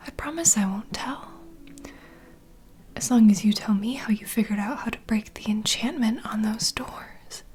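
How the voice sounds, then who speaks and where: A woman's voice: on the high side, normal speed, slight background noise, close to an everyday voice. Woman playing a whispering, secretive female vampire bartender. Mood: confiding, protective. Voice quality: soft, breathy whisper. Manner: intimate, confiding, gentle. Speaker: ASMR roleplay performer. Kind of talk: whispered ASMR fantasy roleplay.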